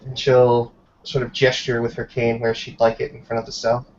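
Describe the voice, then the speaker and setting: A man narrating a tabletop roleplay scene in a measured, descriptive way. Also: mid-range voice, monotone. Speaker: roleplay game player narrating. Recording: dull, noisy online call audio.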